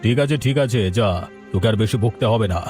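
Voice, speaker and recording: A man's voice: fairly low pitch, fairly quick, over music, natural voice. A man playing a greedy, haughty village landlord in a melodrama, blustering and bossy. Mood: scornful, irritated. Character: greedy, haughty village landlord, bullying his servant and tenants. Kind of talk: melodramatic Bengali village audio drama.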